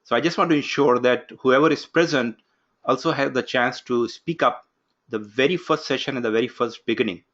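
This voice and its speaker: A man opening an online course from his home office, giving instructions briskly and calling on participants one by one. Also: mid-range voice, fairly bright tone, lo-fi sound. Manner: brisk, instructive, takes roll call. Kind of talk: live online class introduction. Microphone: video call.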